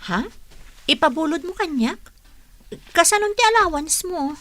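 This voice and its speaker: A woman's voice: very high voice, expressive, moderate pace, pushed and bright, no echo, full character voice. A woman playing a troubled but helpful young student and grateful friend in a radio drama.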